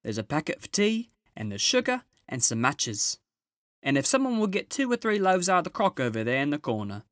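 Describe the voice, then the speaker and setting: Man putting on a high, fussy motherly lady beaver. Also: big pitch swings, a bit bright, no room sound, clean studio sound. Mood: brisk, unhurried. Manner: folksy, coolly unhurried. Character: bustling, unflappable, fussy motherly old female beaver.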